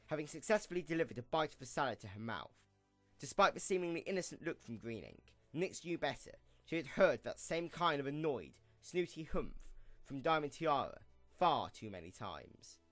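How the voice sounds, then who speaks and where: A man's voice: fairly high pitch, no room sound. A man reading scripted storybook narration as the narrator of an audio drama. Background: music.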